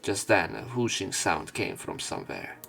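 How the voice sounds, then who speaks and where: A man with a very low voice narrates a visual novel for a let's play. He moves between reading the text and casual, sweary asides of his own. Faint music plays behind him. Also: huge pitch swings, moderate pace, vocal fry, a bit bright.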